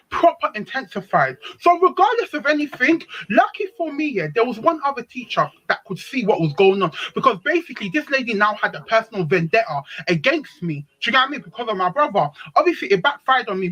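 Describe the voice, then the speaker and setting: A young woman with a deep voice, telling a story on a live panel stream in a slangy, dramatic way. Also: fairly bright tone, rough recording. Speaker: panel speaker.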